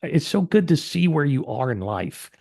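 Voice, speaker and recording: A man's voice: on the high side, sing-song, normal speed, vocal fry, mellow, husky. A man hosting a casual interview podcast, speaking in an upbeat, earnest and encouraging way. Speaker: podcast host.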